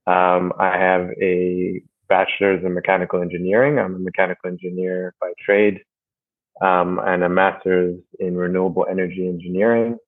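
Low flat-voiced young man on a tinny line. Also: no echo. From Rwanda and Canada. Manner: thoughtful, many "um" pauses. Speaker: guest, energy company manager. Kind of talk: casual interview talk. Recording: dry, phone-like line.

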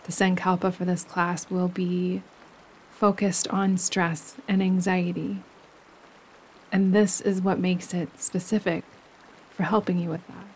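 Slow, low-pitched woman guiding meditation. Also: a little hiss or hum, poor audio. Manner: soothing, gentle instruction with unhurried pauses. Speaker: yoga nidra meditation guide. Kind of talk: guided relaxation meditation. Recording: steady running stream water behind voice. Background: gentle running stream sounds.

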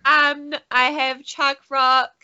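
A woman's voice: high-pitched, little pitch movement, slow, sharp tone, muffled recording. A young woman from New Zealand with a New Zealand accent, chatting as a podcast guest, laughing often and restarting her sentences. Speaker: guest, studio CEO and streamer. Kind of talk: casual podcast interview.

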